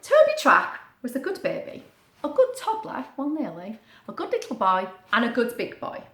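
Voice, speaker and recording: A woman reading a picture book aloud to young children, expressive, with chatty asides and questions. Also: fairly high pitch, moderate pace. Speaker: children's story reader. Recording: roomy, echoing sound.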